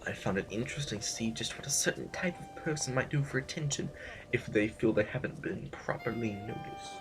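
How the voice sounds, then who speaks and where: A man playing a smug, haughty aristocrat in an audio drama, speaking formally with hesitant, deliberate pauses. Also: mid-range voice, over music, close to an everyday voice. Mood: probing, smug. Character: smug, haughty male aristocrat needling a rival general.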